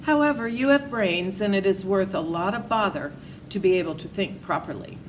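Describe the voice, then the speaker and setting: A woman's voice: mid-range voice, normal speed, pitched above the performer's own voice, close to an everyday voice, poor audio. A good-natured scarecrow , acted out by a woman reader, thoughtful and hearty. He sounds like a storybook character, on a recording with noticeable background noise. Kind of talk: storybook read-aloud character voice.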